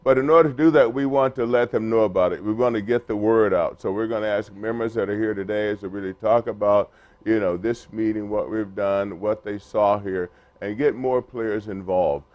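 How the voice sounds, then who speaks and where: A man speaking at a press conference for a retired players group, halting, with many fillers and restarts. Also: lowish voice, steady tone, no echo. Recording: muffled lo-fi recording.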